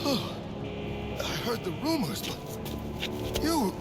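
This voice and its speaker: A cocky but cowardly henchman in a video game. His voice sits very high and jumps about in pitch. Loud music surrounds it. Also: very animated, slow, exaggerated voice. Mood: terrified. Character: cocky male thug turned terrified. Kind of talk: video game cinematic acting.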